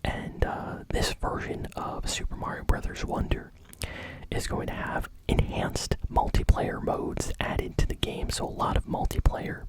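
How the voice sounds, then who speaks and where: A woman's voice: unhurried, low-quality recording. Whispering woman, ASMR gaming news host. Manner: enthusiastic, conversational, unscripted.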